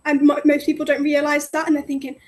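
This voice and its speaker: A bubbly, outgoing woman in her twenties, from North Wales, talking over the phone. Her voice sits high and bright, and her chat is friendly and easygoing. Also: completely flat delivery, quick pace. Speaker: guest, cleaning business owner. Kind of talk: casual interview chat. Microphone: phone call.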